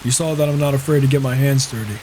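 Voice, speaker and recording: A man roleplaying a reclusive, self-assured mercenary warrior in a video game, talking in a measured, musing way. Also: medium pitch, a little mellow, lightly acted. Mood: guarded, curious. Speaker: roleplaying player. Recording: hissy microphone with rain and thunder. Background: rain and thunder.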